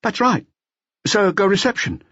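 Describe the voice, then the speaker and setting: A man's voice: sits high, expressive, unhurried, bright, forceful tone, husky, dry recording, highs cut off, voice pushed up, exaggerated voice. A man voicing an eager, deferential hotel employee in an audiobook, with a polite, servile manner. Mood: polite, obliging. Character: eager, deferential male hotel porter and receptionist.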